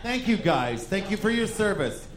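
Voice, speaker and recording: A man's voice: sits high, very animated, normal speed, very noisy. A man co-hosting a comedy podcast live on stage, bantering playfully with his partner. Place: stage before a live audience. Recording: roomy live recording with audience.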